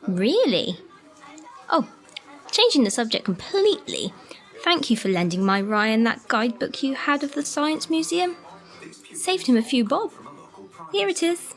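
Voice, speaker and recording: Radio play: wry female carer actress, highish animated voice, conspiratorial, mock-scolding. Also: a little slow, long pauses, soft background music, heavily acted. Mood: knowing, teasing. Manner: sardonic, knowing, mock-scolding. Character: wry, conspiratorial female care-home worker, knowing and affectionate. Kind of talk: amateur radio play acting.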